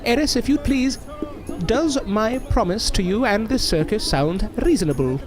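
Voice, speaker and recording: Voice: medium pitch, animated, moderate pace, over music, natural voice. A performer playing a proud but polite circus newcomer in an audio drama, with an earnest, formal and sometimes hesitant manner.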